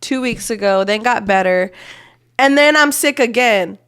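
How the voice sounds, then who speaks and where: Raspy, congested young woman chatting. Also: a little high, measured pace, a bit bright. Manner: chatty, lots of "like" and "you guys". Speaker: podcast co-host. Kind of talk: casual chat.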